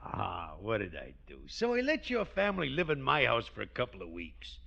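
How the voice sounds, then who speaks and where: An actor playing an earnest, sappy and self-satisfied working-class American pal in a 1940s radio comedy. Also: male voice, medium pitch, wildly swooping pitch, moderate pace, creaky, pushed and bright, full character voice. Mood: falsely modest. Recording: dull vintage 1940s radio recording.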